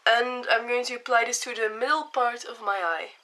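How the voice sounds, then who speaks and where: A young woman with a cold and a hoarse voice, chatting casually as she explains each step of a makeup tutorial. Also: on the high side, steady tone, moderate pace, sharp tone.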